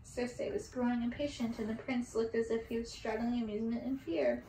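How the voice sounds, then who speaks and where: A woman reads a story in ASMR style. Her voice is high and set back from the microphone, with room echo and faint hiss. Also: little pitch movement, a little fast, warm tone. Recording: distant roomy mic with background hiss.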